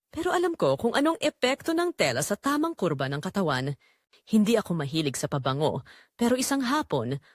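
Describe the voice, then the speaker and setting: A woman's voice: medium pitch, no room sound, studio quality. A woman narrating a romance audio drama as a yearning married mother confessing forbidden attraction. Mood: longing, tense.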